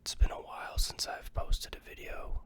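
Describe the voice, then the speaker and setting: Soft, stuffy, rambling whisper. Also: normal speed. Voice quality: fully unvoiced whisper. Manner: rambling, hesitant, many ums and restarts. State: a little stuffy from a cold. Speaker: ASMR vlogger. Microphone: close ASMR microphone.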